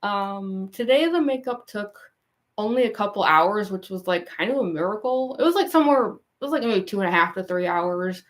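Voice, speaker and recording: A young woman with a rusty, gruff voice and a goofy, frequent laugh chats with her livestream viewers. She rambles in a casual way, full of fillers and little restarts. Her pitch sits in the middle of the usual range for a woman. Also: expressive, moderate pace. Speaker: cosplay livestreamer.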